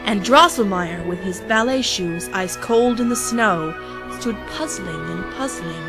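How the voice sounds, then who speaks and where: A woman's voice: mid-range voice, a little slow, music in the background. A woman narrating a rhyming parody storybook as a theatrical storyteller.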